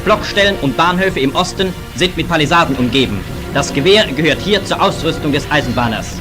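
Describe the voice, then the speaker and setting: High-voiced 1940s newsreel man over loud music. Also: expressive, a little fast. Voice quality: formal, declamatory announcer delivery. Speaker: newsreel narrator. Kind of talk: 1940s German wartime newsreel narration.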